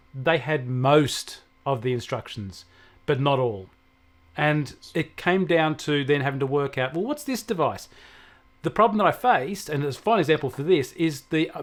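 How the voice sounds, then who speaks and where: A man explaining things conversationally as a technology co-host, telling anecdotes from his own work. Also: a little high. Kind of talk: conversational tech discussion.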